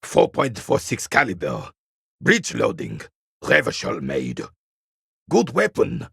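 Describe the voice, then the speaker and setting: An actor playing a proud, bitter old royalist soldier in a video game, stern and disdainful, with a gravelly, raspy voice. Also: male voice, mid-range voice, huge pitch swings, slow pace, a bit bright, dry recording, studio quality, exaggerated voice. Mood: knowledgeable, measured. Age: older. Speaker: retired carabinier working as a guard. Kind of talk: video game character dialogue.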